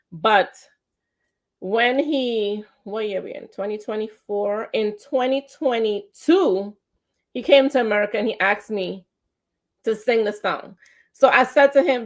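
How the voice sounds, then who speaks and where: A woman hosting an online talk radio show, telling stories in a lively way and now and then singing lines of her own song. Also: mid-range voice. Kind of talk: casual radio chat with sung song excerpts.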